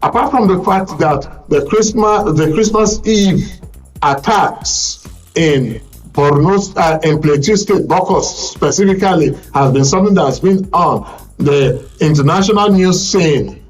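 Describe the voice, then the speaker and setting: A man with a Nigerian accent presents a current affairs programme as its host, in a deliberate, formal broadcast delivery. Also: fairly high pitch, animated, soft background music, low-quality recording. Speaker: radio and TV talk show host.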